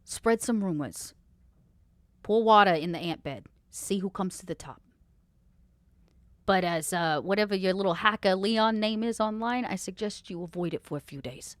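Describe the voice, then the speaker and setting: A woman's voice: medium pitch, normal speed, no echo, lightly acted. A woman playing a shrewd, protective vampire fixer in improvised role-play, with a folksy, slangy, coolly threatening manner. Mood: shrewd, advising.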